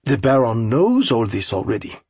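A man's voice: mid-range voice, very animated, darkish tone, husky, no room sound, full character voice. Man voicing an earnest, formal young aide. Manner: polite, formal, measured.

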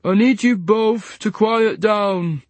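A man voicing a calm, patronizing male hospital carer who speaks slowly and soothingly. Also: sits high, no room sound, pitched above the performer's own voice.

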